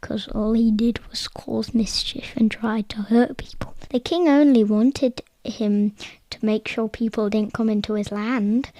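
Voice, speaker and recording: A woman's voice: on the high side, steady tone, measured pace, mellow, no echo. A woman narrating a bedtime story as a podcast co-host.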